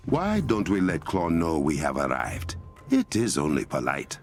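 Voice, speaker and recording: A man's voice: sits low, wildly swooping pitch, a little slow, exaggerated voice. An actor playing a grave, resolute young king with an African accent, speaking formally and with command in a dramatic video game. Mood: defiant. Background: cinematic game music.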